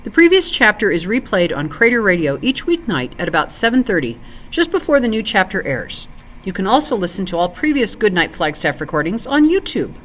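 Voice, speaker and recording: A woman reading a story aloud for families in an expressive, clearly phrased storytelling style. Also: mid-range voice. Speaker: volunteer story reader and ESL teacher.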